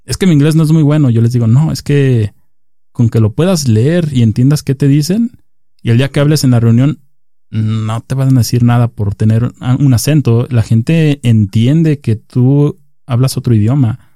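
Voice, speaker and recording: A man's voice: medium pitch, moderate pace, dark tone, dry recording, studio quality. A man hosting a casual interview, chatty and explaining things to his listeners. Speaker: podcast host.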